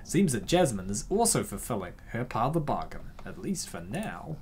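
A man's voice: medium pitch, very animated, normal speed, a little mellow, a little hiss or hum. A man hosting a casual comic reading with playful commentary and theatrical exclamations. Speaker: host.

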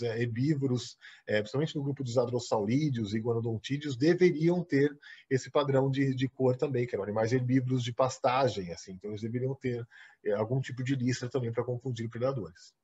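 A Brazilian man giving an online lecture over slides, halting with restarts and "né?" tags. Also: mid-range voice, a little mellow. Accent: Brazilian Portuguese. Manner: halting, many repeated words and restarts; explains with frequent "né?" tags. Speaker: guest lecturer. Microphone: video call. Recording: husky, dull lo-fi video call audio.

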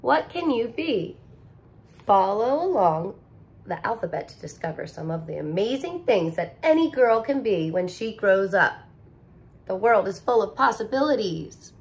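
A woman in her thirties, a teacher, reading a picture book aloud to young children in an expressive, sing-song way, recorded close to the camera in a living room. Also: medium pitch, creaky. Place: living room with couch, indoors.

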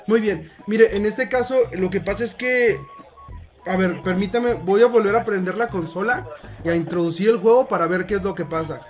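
A man's voice: high voice, normal speed, faint music behind. A young man speaking politely and hesitantly on a prank phone call, playing a concerned father. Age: young adult. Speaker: prank caller posing as a father. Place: room at home, indoors.

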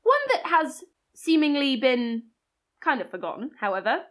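British woman, high voice, very varied intonation, podcast co-host, folklore narration, playful dry humour. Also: lively intonation, moderate pace, fairly bright tone. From Britain.

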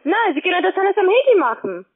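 High-voiced woman on a tinny phone line. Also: big pitch swings, fast. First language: not German. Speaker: phone sales agent, account manager. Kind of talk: phone sales pitch.

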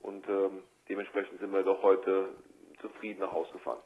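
A man giving a spontaneous sports interview over the telephone as a football coach, measured and matter-of-fact with hesitations. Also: deep voice, monotone. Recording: tinny, band-limited telephone line.

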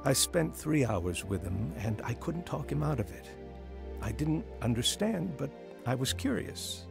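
Gravelly man as a troubled husband. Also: mid-range voice, big pitch swings, unhurried, music in the background, full character voice. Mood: reflective narration. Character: proud, remorseful husband and father recounting his life. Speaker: lead actor and first-person narrator. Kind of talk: dramatised testimony radio drama.

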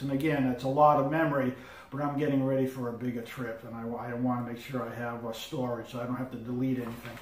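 Man, 50s, rambling gear talk, echoey and distant from the camera. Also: medium pitch. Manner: rambling, halting with many fillers and restarts. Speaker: hiker showing gear. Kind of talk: casual gear walkthrough. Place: sunny living room with big windows. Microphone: camera across the room. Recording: roomy, from far off.

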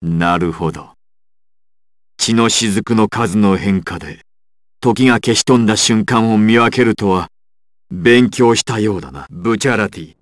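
A man's voice: on the low side, a little slow, dry recording, studio quality, close to an everyday voice. A man playing a proud, ruthless crime boss villain in an anime fighting game, with an imperious, menacing and theatrical delivery. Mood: cold, menacing.

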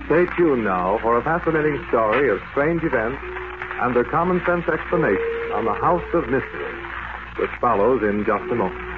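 A man making formal, measured closing announcements on a 1940s radio program. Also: medium pitch, expressive, music in the background. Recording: muffled old radio recording with loud hiss.

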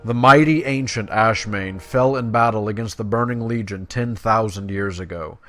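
A man's voice: on the low side, a bit bright, faint music behind. A man doing gameplay commentary, switching between casual asides and reading quest text aloud. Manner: casual asides between plain, measured read-outs. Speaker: gaming video creator.

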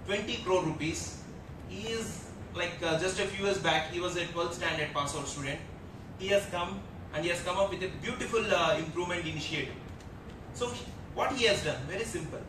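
A man with an Indian English accent speaking on an HR panel from a lectern, earnest and repetitive with many fillers. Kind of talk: panel discussion talk. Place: large auditorium stage at a lectern. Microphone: lectern mic heard from a far camera. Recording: echoey hall from far off, rumble.

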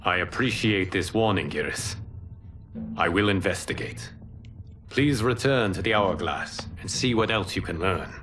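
An actor playing a calm, wise protector god in a video game cutscene, speaking in a measured, authoritative way. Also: male voice, low voice, music in the background. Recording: game audio with loud score.

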